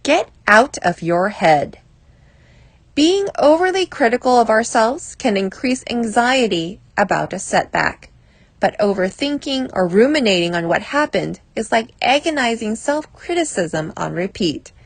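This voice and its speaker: Higher-pitched woman reading with lively intonation. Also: unhurried, dry recording. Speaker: narrator reading an article aloud. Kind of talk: scripted narration, self-help article reading.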